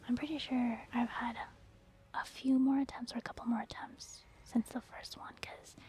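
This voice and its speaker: A woman doing soft, whispered ASMR commentary over gameplay, chatting informally to her viewers. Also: a little high, slight background noise. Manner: informal, conversational, addressing viewers. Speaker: ASMR gaming creator.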